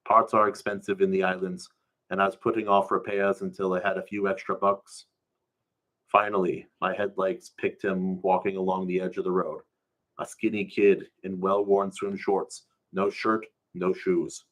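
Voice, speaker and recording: A man's voice: low-pitched, little pitch movement, normal speed, a bit bright. A man reading a first-person story aloud in a colloquial, storytelling way.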